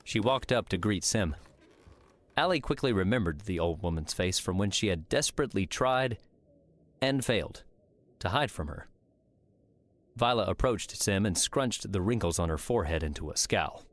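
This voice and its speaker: A man's voice: fairly low pitch, animated, normal speed. A man reading scripted story narration as an audiobook narrator.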